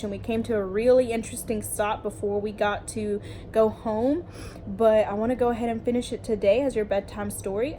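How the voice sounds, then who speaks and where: A woman teacher doing an expressive picture-book read-aloud, chatting directly to young children in an encouraging, explanatory way. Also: a little high, a little hiss or hum. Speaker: teacher reading aloud to her class.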